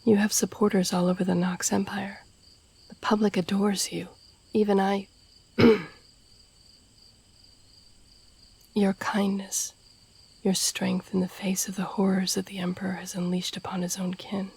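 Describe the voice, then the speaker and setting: A very breathy, husky woman playing a masked assassin sworn to royalty, with gravelly edges and a restrained, even melody. The speech is hushed, formal and devoted, as in an intimate fantasy audio roleplay. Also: medium pitch, moderate pace. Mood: earnest, admiring.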